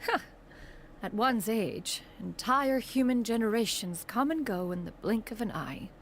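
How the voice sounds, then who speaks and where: An actress playing a haughty, ancient immortal mentor in a video game, with pompous archaic speech. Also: female voice, fairly high pitch, animated, measured pace, slight background noise. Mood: dismissive. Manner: pompous, archaic speech, refers to self as "one". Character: haughty ancient adeptus bird in woman's form, imperious mentor. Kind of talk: scripted video game dialogue. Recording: music playing behind.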